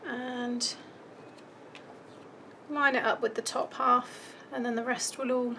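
High-voiced British woman, chatty craft tutorial. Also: little pitch movement, slow, sharp tone, a little hiss or hum. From United Kingdom. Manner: informal, chatty, thinking aloud. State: starting to lose voice. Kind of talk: casual step-by-step craft tutorial. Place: indoors at a craft table.

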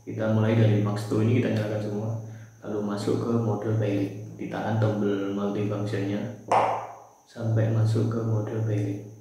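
Casting: young male tutorial presenter, fairly flat delivery, mellow tone, heavily reverberant small room. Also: on the low side, normal speed. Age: young adult. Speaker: shop presenter giving product tutorial. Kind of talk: informal step-by-step product demonstration. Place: small bare room, indoors at a table.

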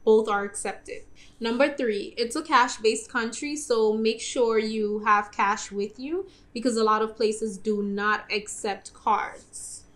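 A young woman with a slightly high voice sits in a bedroom and talks straight to the camera. She hands out travel tips in a chatty, direct way, calling her viewers guys. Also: fairly even intonation, slow pace, a bit bright. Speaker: travel vlogger. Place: indoor bedroom.

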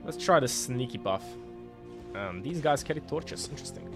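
Lively Croatian man streaming a game. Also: medium pitch, moderate pace, music in the background. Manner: excitable, swears and laughs while fighting bosses. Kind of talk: live gameplay commentary reading chat. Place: home streaming room, indoors. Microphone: headset.

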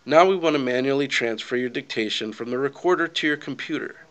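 A man with a medium-pitched voice narrates a software tutorial from a script. He gives instructions step by step in a clear, orderly way, as a voice-over for a screen recording. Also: fast, muffled recording.

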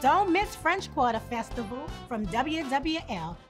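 A woman's voice: high-pitched, very animated, unhurried, music in the background. A woman reading a scripted TV advertisement as a festival spokesperson.

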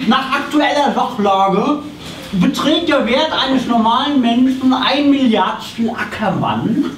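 An actor playing a fussy, pedantic tax inspector in a live comedy play, officious and prone to stammering. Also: male voice, very high voice, lively intonation, slow, a bit bright, exaggerated voice. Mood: pompous. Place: small theatre stage. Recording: roomy stage recording from far off, noisy.

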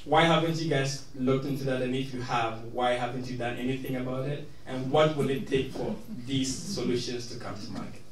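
A man's voice: medium pitch, steady tone, fairly quick, hardly any pauses. A man from Ghana stands in the audience of a panel talk and asks his question without a microphone. He sounds distant and roomy, with a faint hum behind him. His tone is earnest and builds to pointed asks. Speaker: audience member asking a question. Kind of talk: question from the floor. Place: large meeting room with audience.

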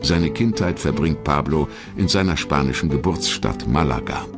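Very deep-voiced male documentary narrator. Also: lively intonation, normal speed, over music. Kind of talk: scripted narration of an audio biography.

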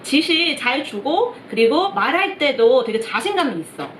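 A woman's voice: high voice, very animated, normal speed, slight background noise. A young woman from Taiwan, a native Mandarin speaker with a Taiwanese accent, chatting in hesitant, word-searching Korean as she reacts to a show. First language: Mandarin Chinese. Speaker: reaction video host. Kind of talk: casual reaction commentary. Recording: roomy echo with show music behind.

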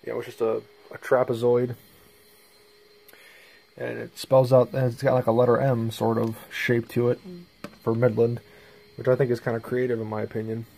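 Flat-voiced man with some vocal fry. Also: medium pitch, moderate pace, pauses often, slight background noise. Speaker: hobbyist video presenter. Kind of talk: casual show-and-tell vlog. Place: small bedroom indoors. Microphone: handheld phone camera.

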